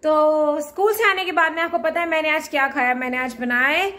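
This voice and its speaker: A woman's voice: high voice, moderate pace, bright, forceful tone. A woman in her thirties vlogging casually on a phone held at arm's length, mixing English words into her Hindi. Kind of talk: casual vlog narration. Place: outdoors by a garden, then at home, then in a busy shop.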